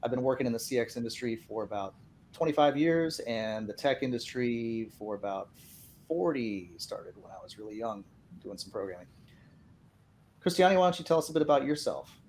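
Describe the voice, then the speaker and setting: Male podcast host, faint rumbly noise behind. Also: animated, normal speed, darkish tone. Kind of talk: conversational podcast hosting.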